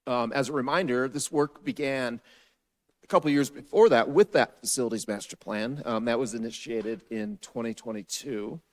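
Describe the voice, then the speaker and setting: Raspy hoarse guy doing a businesslike staff briefing for city council, steady, plain middle pitch. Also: normal speed.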